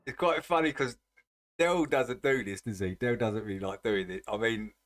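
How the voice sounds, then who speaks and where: A man's voice: mid-range voice, moderate pace, a bit bright. A man hosting a live stream reaction, talking casually and bluntly and mocking the people he watches. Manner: blunt, mocking, laughs often.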